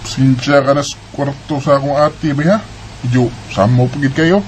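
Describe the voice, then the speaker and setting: A man's voice: medium pitch, steady tone, moderate pace, a little hiss or hum, natural voice, low-quality recording. A man voicing an easygoing young male friend offering a place to stay in a radio drama. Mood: friendly. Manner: casual, friendly.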